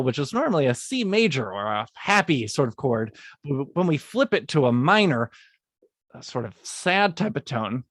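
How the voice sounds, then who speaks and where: Higher-voiced man explaining music, very dry sound. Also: dry recording. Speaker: composer, podcast guest. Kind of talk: semi-scripted podcast narration with retakes.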